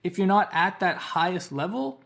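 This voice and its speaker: A man's voice: high voice, animated, vocal fry, a bit bright. Wry Canadian man presenting. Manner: wry, joking, measured. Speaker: video presenter. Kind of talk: scripted opinion video.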